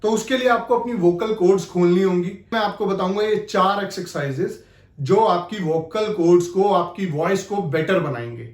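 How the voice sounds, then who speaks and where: A man's voice: high-pitched, normal speed. A man giving an explanatory acting lesson, speaking straight to the viewer. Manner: explanatory, addresses the viewer directly. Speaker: acting coach and presenter. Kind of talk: instructional video talk with spoken demonstrations. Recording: echoey, reverberant room.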